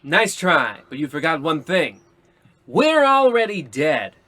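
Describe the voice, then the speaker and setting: A man's voice: a little high, big pitch swings, takes it slowly, very noisy. An actor playing a brash, hard-boiled police detective in old-time radio comedy style, with punchy tough-guy delivery. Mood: defiant.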